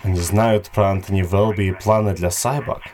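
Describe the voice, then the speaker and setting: A man as a deep-voiced, deferential spy. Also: fairly even intonation, brisk, darkish tone, close to an everyday voice. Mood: businesslike. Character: deferential male Russian eavesdropping spy. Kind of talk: audio drama acting.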